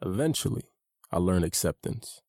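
A man narrating his own memoir as an audiobook, in a measured, reflective and earnest way. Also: low voice, animated, warm tone, no room sound, studio quality.

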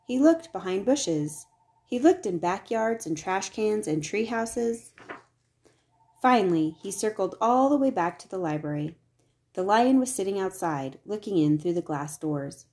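A woman reading a children's picture book aloud as scripted narration, with expressive delivery. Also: medium pitch, a little slow. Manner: expressive, acts out the dialogue. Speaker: storybook reader.

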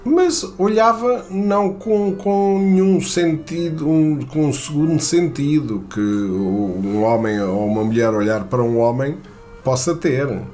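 A man from Portugal with a European Portuguese accent, telling a personal anecdote in a halting way, with many fillers and restarts. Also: fairly high pitch, darkish tone, faint music behind.

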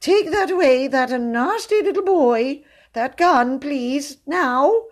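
Quavering, high-pitched cowardly gentleman. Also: big pitch swings, slow pace, exaggerated voice. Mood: frightened pleading. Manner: plaintive, hesitant, pleading. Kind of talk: storybook character voice.

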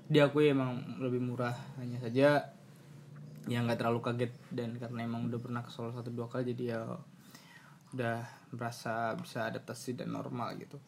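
A man's voice: mid-range voice, monotone, brisk, gravelly, a little hiss or hum. A young man from Kebumen with a Banyumasan ngapak Javanese accent, chatting casually as a student guest on a podcast. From Kebumen, Central Java. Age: young adult. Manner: loose storytelling with fillers and asides. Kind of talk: casual chat.

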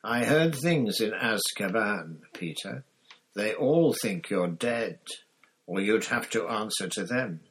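A bitter, vengeful man, as a reader puts him on in a storybook, hissing venomous accusations. The voice sits a little raised and pushed louder than the narration, sharp with contempt. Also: lowish voice, lively intonation, slow, slightly rough, heavily acted. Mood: accusing. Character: bitter, furious escaped prisoner, grown man. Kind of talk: audiobook character reading.

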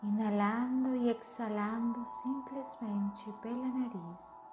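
A woman leading a guided meditation in a soothing, unhurried manner, gently giving instructions. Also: on the high side. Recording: echoey, with music underneath. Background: music.

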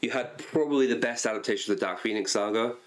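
A man's voice: medium pitch, fast talker. A man co-hosting a casual podcast, talking keenly and often tripping over and repeating his words. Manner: enthusiastic, stumbles and repeats words. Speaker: podcast co-host. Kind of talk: casual chat about cartoons and comics.

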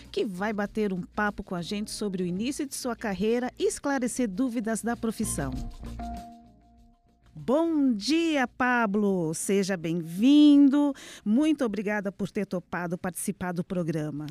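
A woman's voice: mid-range voice, lively intonation, measured pace, darkish tone, soft background music. A woman hosting an audiovisual podcast, reading a scripted introduction and then interviewing her guest. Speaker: podcast host.

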